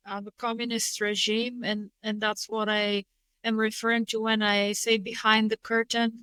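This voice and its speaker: A woman from Bulgaria, a real estate investor, answering interview questions in a measured, thoughtful way with frequent restarts. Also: on the high side, fairly bright tone, clear, resonant tone, dry recording. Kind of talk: interview conversation.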